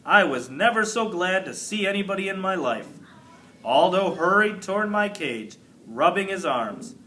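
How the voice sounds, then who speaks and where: A man reading a children's book aloud as an expressive narrator, like a teacher to a class. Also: high-pitched, moderate pace, slight background noise, rough recording.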